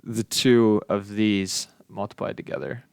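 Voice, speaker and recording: A young adult man giving a casual software demonstration as a student, informal, offhand and a little tentative. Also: fairly low pitch, steady tone, unhurried, creaky, clean tone, dry recording, studio quality. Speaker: student presenting a final project.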